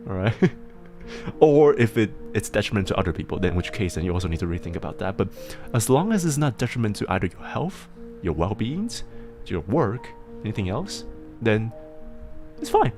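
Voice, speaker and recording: A man's voice: lowish voice, big pitch swings, moderate pace, music in the background. A young adult man hosting a casual stream where he gives advice, rambling and giggly, with frequent laughs and restarts. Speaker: host giving advice on submitted struggles. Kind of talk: casual livestream advice chat.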